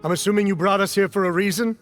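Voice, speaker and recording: A man's voice: sits high, fairly even intonation, fast talker, a bit bright, faint music behind. An actor playing a firm, impatient male escapee trying to stop an argument in a game cutscene.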